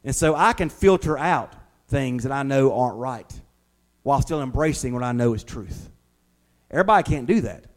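A man's voice: mid-range voice, lively intonation, normal speed. A middle-aged man preaching an informal, conversational sermon as a pastor, in a folksy way. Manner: folksy, uses homely examples and acts out imagined replies.

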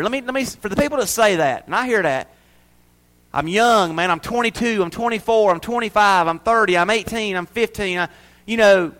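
High-voiced preaching man. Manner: preachy, swings between loud emphasis and hushed asides. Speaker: pastor. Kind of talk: sermon. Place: church.

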